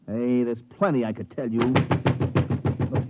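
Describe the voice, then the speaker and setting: A man's voice: mid-range voice, lightly acted. An actor playing a harried, scheming repairman, cajoling in slangy patter in a 1950s radio comedy-drama. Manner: fast-talking, cajoling, slangy. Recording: muffled vintage radio recording, faint noise.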